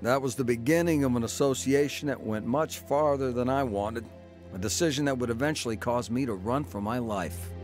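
A man's voice: mid-range voice, a little fast, music in the background, close to an everyday voice. An actor playing an uneasy American tavern owner who tells his own story in a radio drama. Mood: reflective narrating. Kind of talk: radio drama acting and first-person narration.

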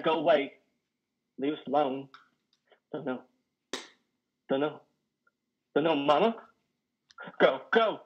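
Guy acting a broken lonely trucker in a play, high raspy pleading voice, halting, dull video call sound. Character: lonely, worn-out trucker reliving childhood trauma, pleading like a frightened boy. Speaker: actor reading a play. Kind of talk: dramatic play reading. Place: living room on a sofa. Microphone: headset on a video call. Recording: muffled video-call audio.

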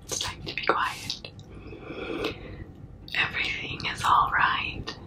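A young woman whispering very close to the mic in a breathy, soothing, repetitive ASMR comforting roleplay, with soft shushing. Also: takes it slowly. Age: young adult. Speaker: ASMR performer. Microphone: very close to the mouth.

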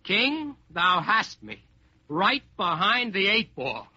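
An actor playing an excitable young American storyteller in vivid, slangy old radio style. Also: male voice, sits high, takes it slowly, over loud music, heavily acted. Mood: wry, reassuring. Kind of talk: 1940s radio acting. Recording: muffled, faintly noisy old radio.

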